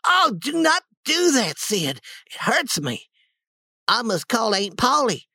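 A narrator voicing a melodramatic young boy who fakes agony with whining, drawn-out pleading in a children's audiobook. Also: mid-range voice, sing-song, bright, forceful tone, husky, no echo, studio quality, voice pushed up, full character voice. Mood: pained, pleading.

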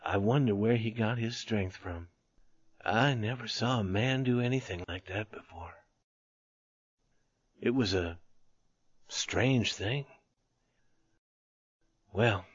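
A man voicing a weary, wounded older soldier who speaks low and hushed in a dazed, musing way. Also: slow, pauses often, breathy edge, dry recording, lo-fi sound.

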